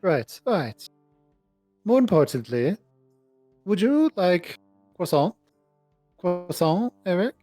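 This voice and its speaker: A man's voice: high-pitched, big pitch swings, slow pace, long pauses, mellow, soft background music, voice pushed up, heavily acted. A man voicing a nervous, eager young woman who stammers and pleads insistently.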